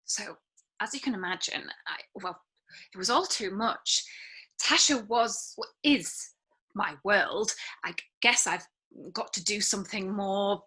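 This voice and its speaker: A woman playing an anxious, bewildered character with a hesitant, halting delivery in a scripted drama read over a video call. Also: medium pitch, bright, forceful tone, breathy edge. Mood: confused, apologetic. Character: anxious, bewildered woman whose life has fallen apart.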